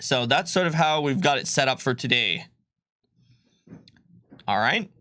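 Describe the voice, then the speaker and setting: A man's voice: medium pitch, animated, unhurried, pushed and bright, no room sound, studio quality. A man co-hosting a livestream, explaining game rules in a loose, rambling way with frequent ums and self-corrections. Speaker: co-host presenting game rules. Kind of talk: casual livestream chat.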